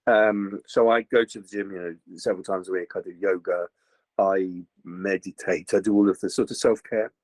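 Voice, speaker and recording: An older man, a voice actor chatting in an interview, slightly gruff, rambling with many fillers and restarts. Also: deep voice, fairly even intonation, moderate pace, dry recording. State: slightly gruff after a recent virus. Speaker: voice actor guest. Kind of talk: casual interview chat.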